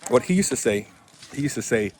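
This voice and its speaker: Man in his seventies, average pitch, chatty and wandering self-hosted vlogger outdoors; handheld phone sound with faint background noise. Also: animated, a little fast. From Michigan, USA. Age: 70s. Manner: chatty, wandering, corrects himself often. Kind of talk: rambling casual vlog chat. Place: outdoors on rural land.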